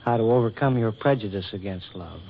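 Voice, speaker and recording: An actor playing a courtly, earnest government official who woos in stiff, hesitant, formal speech, in 1940s radio drama style. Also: male voice, on the low side, fairly quick, lightly acted. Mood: earnest, wooing. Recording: muffled, noisy 1940s radio recording.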